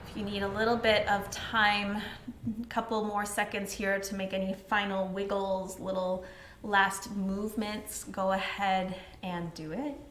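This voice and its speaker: A woman teaching yoga nidra, guiding relaxation in a soothing, unhurried, gently instructing way. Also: mid-range voice, flat delivery, a little hiss or hum. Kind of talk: guided relaxation meditation. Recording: roomy echo with music behind. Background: meditation music, musical instrument.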